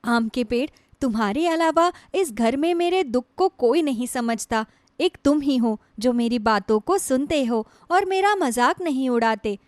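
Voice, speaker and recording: A woman's voice: high-pitched, animated, unhurried, darkish tone, no echo, studio quality. A woman voicing a long-suffering young wife in a moral storybook tale, plaintive and despairing. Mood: sorrowful. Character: sorrowful, long-suffering young wife pouring out her grief. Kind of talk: Hindi moral storybook voice acting.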